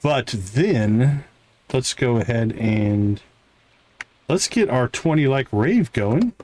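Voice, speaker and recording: A man's voice: mid-range voice, lively intonation, slow, a little mellow, slight background noise. A man giving playful, chatty live commentary as he plays a video game, talking to characters and viewers. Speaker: livestream host playing a farming video game. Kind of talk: casual live gaming commentary.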